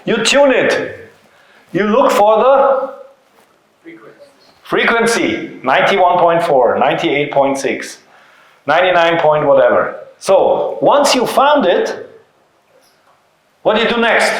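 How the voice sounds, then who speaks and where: Male seminar presenter, native German speaker with German accent, slightly high pitch, didactic and informal, heavily reverberant room. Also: big pitch swings, takes it slowly, long pauses, a bit bright. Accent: German-speaking European. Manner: didactic, uses rhetorical questions and short emphatic phrases. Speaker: seminar presenter, company founder. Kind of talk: informal seminar talk to an audience.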